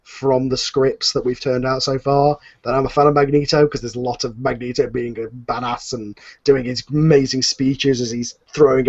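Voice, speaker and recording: A man who writes an audio drama, talking enthusiastically in a casual panel chat, rambling with many fillers and restarts. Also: mid-range voice. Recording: muffled lo-fi recording.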